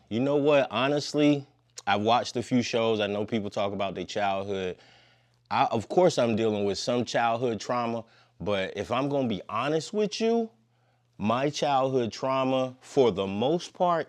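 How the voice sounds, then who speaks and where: A man's voice: medium pitch, moderate pace. A man in his fifties talking as a podcast guest in a laid-back, slangy, long-winded way. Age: 50s. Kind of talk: casual conversation.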